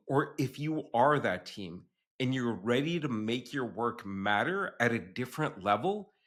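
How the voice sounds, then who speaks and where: A man's voice: medium pitch, fairly bright tone. A man hosting a podcast, reading an intro and then asking questions with many hesitations. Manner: hesitant, filler-heavy when unscripted. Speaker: podcast host. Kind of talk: scripted intro then casual interview hosting.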